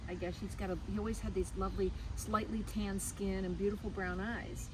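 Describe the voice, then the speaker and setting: A woman's voice: mid-range voice, level tone, fairly quick. A woman interview guest explaining things in casual, conversational speech. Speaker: museum director, interview guest. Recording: very noisy outdoor recording, loud traffic.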